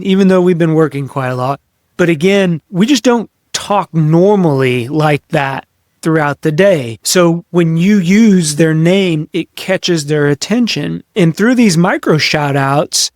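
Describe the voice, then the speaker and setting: Male podcast host, slightly high voice, dry sound. Conversational business coaching, instructive, stressing key words. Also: darkish tone, no room sound. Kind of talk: practical business advice talk to camera or mic.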